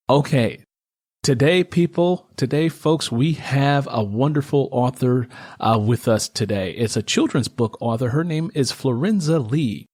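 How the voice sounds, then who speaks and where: A man's voice: on the high side, a little mellow, no room sound, clean studio sound. A man hosting a podcast and interviewing a guest, who also works as a book narrator. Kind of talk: scripted show intro and interview.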